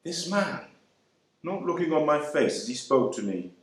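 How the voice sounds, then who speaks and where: An actor playing a proud, wry young man serving in the air force, speaking with measured delivery and deliberate pauses. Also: a little high, sing-song. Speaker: actor reading a monologue. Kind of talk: dramatic monologue reading. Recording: very echoey room.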